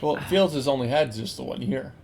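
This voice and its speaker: A man's voice: medium pitch, fairly quick, gravelly, a little mellow, a little hiss or hum. A man hosting a podcast, talking sports casually with friends. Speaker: podcast host.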